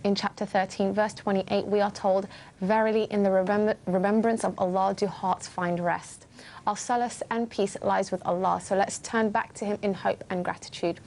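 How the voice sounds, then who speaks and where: A woman hosting a panel discussion on a broadcast show, talking in a gentle, earnest way and adding many pious interjections. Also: mid-range voice, steady tone, normal speed. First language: not Arabic. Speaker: host.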